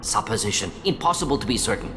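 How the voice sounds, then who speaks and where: An actor voicing an older alien scientist in a video game, brisk and stubbornly logical, speaking in clipped, emphatic fragments. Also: male voice, lowish voice, expressive, close to an everyday voice. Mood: stubborn, clinical. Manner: clipped telegraphic phrases, emphatic. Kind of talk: dramatic video game dialogue. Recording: echoey long hall reverb, music behind.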